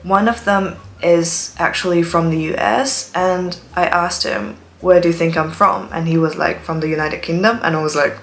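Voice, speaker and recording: A woman's voice: lowish voice, normal speed, gravelly, few pauses, a bit bright, faint music behind. Chatty dramatic young Chinese woman. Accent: English learned from TV, between British and American. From China, Shanghai. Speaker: student vlogger. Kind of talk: casual monologue vlog.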